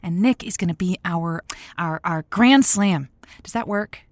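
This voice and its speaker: A woman's voice: fairly low pitch, unhurried, darkish tone, dry recording, natural voice. A woman playing a chatty, flirty radio matchmaking host with a playful, theatrical delivery in an audio drama. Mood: excited, casual. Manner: theatrical, playful, wordplay-loving.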